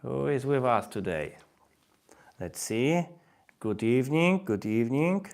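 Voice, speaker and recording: A man's voice: medium pitch, expressive, a little slow, vocal fry, darkish tone. A man in his thirties with a Polish accent hosting a language-learning live stream, repeating phrases and coaxing viewers to comment. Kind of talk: live-stream webinar talk.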